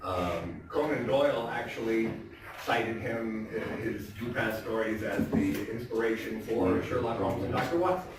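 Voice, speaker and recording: A man hosting a live stage reading, talking to the audience in an informal, chatty, halting way. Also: lowish voice, expressive. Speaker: stage performer hosting an evening of Poe readings. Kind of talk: casual introduction to a live audience. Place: theatre stage, live performance. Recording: very echoey theatre, distant mic, faint noise.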